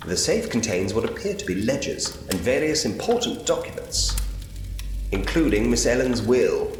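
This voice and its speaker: A man's voice: medium pitch, big pitch swings, normal speed, lightly acted. A man playing an inquisitive, articulate investigator who muses to himself in a Victorian ghost story point-and-click game. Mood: curious. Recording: roomy, long reverb, noisy ambient bed.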